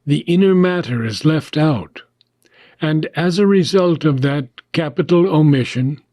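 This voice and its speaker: A man narrates a philosophical audiobook in a slightly raised register. His delivery is very slow and measured, broken into short, deliberate phrases with frequent pauses. The sound is dry, with no room around the voice. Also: a little high, dark tone, no echo. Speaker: audiobook reader. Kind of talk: reading a philosophical text aloud.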